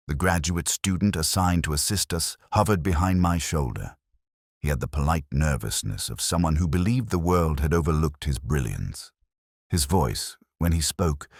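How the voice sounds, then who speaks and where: Very deep-voiced man narrating detective fiction. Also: no echo, studio quality. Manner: literary, measured, brooding. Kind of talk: scripted first-person detective story narration.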